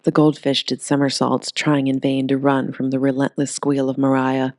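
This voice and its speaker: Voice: medium pitch, monotone, normal speed, darkish tone, no echo, studio quality. An audiobook narrator reading a scripted story.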